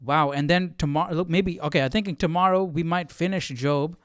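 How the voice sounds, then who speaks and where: A man talks casually as a livestream host, exclaiming and repeating phrases for emphasis. His voice is a little higher than average, and the recording is close and dry with no room echo. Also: brisk, clear, resonant tone. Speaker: Bible study livestream host. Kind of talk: casual chat commentary.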